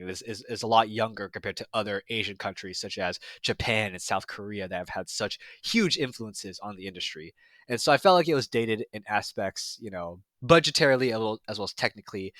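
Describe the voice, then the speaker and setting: A young man talks through his film opinions in a casual, reflective way, often stopping on fillers and restarting his sentences. His voice sits a little low but sounds bright and forward. The recording is very dry and close. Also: dry recording. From United States. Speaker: film reviewer. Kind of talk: casual film review monologue.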